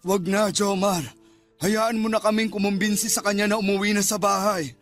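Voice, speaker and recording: Radio drama role: protective, remorseful Filipino father, older, high-pitched, earnest, music underneath. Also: little pitch movement, brisk, a bit bright. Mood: remorseful, grateful. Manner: pleading, earnest.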